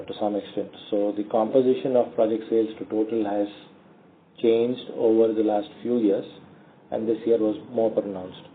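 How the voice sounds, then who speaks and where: A man with an Indian accent speaks as a company executive answering questions on an earnings call, in a halting, measured way with frequent pauses. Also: lowish voice, a little hiss or hum. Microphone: conference call phone line. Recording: tinny narrowband phone line.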